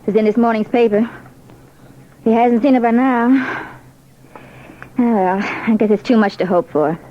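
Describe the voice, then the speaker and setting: Actress for policewoman decoy, 1940s radio crime drama: high voice, composed under nerves, muffled vintage sound. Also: a little slow, close to an everyday voice. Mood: anxious. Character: brave policewoman acting as decoy, anxious but composed. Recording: dull, hissy old radio recording.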